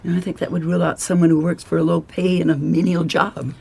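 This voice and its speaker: A man playing a pedantic, erudite language expert in a radio mystery, precise, with a creaky, husky voice. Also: on the high side, level tone, quick pace, a little mellow. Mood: pedantic, analytical.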